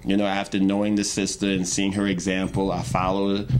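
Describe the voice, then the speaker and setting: A man's voice: low voice, completely flat delivery, fairly quick, a little hiss or hum, low-quality recording. A young man telling his story in a casual interview, rambling with many fillers and restarts. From Trinidad and Tobago. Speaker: guest, hip-hop artist.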